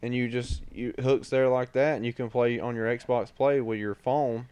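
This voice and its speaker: Laid-back podcast guy, smooth clear voice. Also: medium pitch, steady tone, normal speed, a little mellow, no echo. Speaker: podcast co-host. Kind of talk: casual chat.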